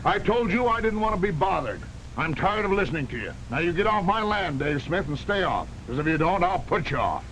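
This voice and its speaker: A man's voice: high voice, big pitch swings, fairly quick, fairly bright tone, a little hiss or hum, poor audio. An actor playing a stubborn, hostile male farmer who orders a salesman off his land, curt and threatening.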